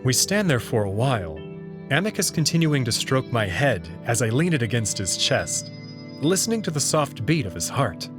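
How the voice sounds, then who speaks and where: A man narrating a visual novel read-through as its host, in an expressive, scripted storytelling style. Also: mid-range voice, moderate pace. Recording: music behind the voice. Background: background music.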